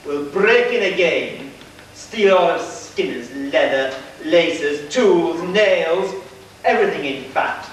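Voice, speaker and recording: A scheming, pompous gang boss in an amateur pantomime. He draws out his grand lines slowly in a high voice, far from the mic in an echoey hall. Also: a bit bright, full character voice. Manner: theatrical, drawn-out, grandiose. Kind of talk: amateur pantomime stage acting. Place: church pantomime stage. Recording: echoey hall, distant mic, hissy old video.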